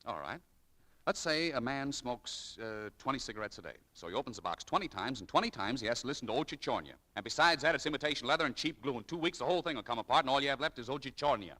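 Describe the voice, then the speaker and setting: Casting: frank shop clerk, bright sharp tone, very quick and insistent, 1940s radio play. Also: male voice, mid-range voice, lively intonation. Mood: blunt. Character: frank, harried male head shop clerk.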